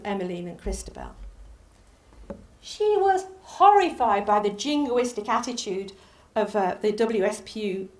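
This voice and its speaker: A woman with a Northern English accent giving a public history lecture, animated, rising on key names and dropping low for asides. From Northern England. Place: lecture hall.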